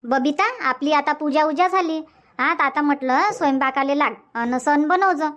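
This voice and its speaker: A woman's voice: sits high, moderate pace, a bit bright. A woman voicing a bossy, fussing village mother-in-law in a cartoon comedy, colloquial and repetitive, with a rural Varhadi Marathi accent. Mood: instructing. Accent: rural Varhadi Marathi from Vidarbha.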